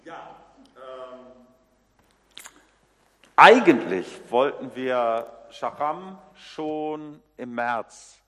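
A man's voice: mid-range voice, lively intonation, a bit bright. A man introducing a new member to a church congregation, speaking in a friendly way with frequent hesitations. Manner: friendly and halting, with many fillers. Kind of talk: informal address to a congregation. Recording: reverberant church hall.

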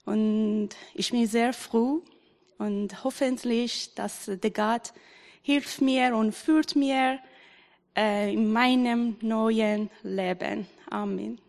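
A woman, giving a halting personal testimony in church. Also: on the high side, steady tone, creaky, darkish tone. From Iran. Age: 40s. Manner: halting, searching for words with many fillers. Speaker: baptism candidate. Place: church hall. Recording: reverberant church hall.

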